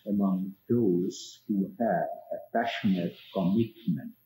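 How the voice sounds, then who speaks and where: An older-era archival interview with a man speaking English with a heavy Hungarian accent, reflecting slowly on art and life, with crinkling and clicking noises behind him. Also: deep voice, mellow, echoey room. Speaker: artist and teacher being interviewed. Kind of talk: slow reflective interview speech. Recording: old archival interview, crackling background noise. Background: paper crinkling and clicking noises.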